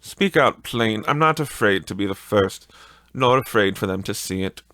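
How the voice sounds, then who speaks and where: A man's voice: fairly low pitch, expressive, moderate pace, fairly bright tone, dry recording. A man voicing a brazen, grasping laundress woman in an audiobook story, with emphatic, sneering, colloquial speech. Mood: scornful, defiant.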